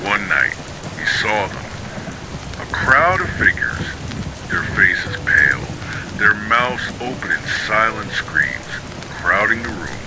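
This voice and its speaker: A man's voice: on the low side, big pitch swings, slow, noisy background. A man reading scripted horror stories as a narrator, meant for listeners settling down to sleep. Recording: music bed with rain under narration. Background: music and rain sounds.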